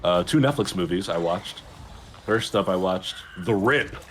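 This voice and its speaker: A man's voice: sits low, lively intonation, unhurried, soft background music. A man co-hosting a pop culture show, chatting casually in a laid-back, dry way. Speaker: co-host.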